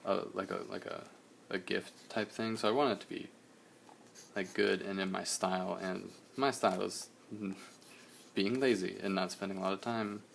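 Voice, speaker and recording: A young man, slightly hoarse, chatting casually in a relaxed, rambling way while he streams a drawing session. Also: low-pitched, moderate pace, a little hiss or hum. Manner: relaxed, rambling, many fillers.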